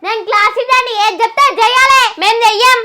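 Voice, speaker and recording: A young girl acting in a comedy skit as a bossy class leader, scolding her classmates with quick retorts. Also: very high-pitched, steady tone, noisy background.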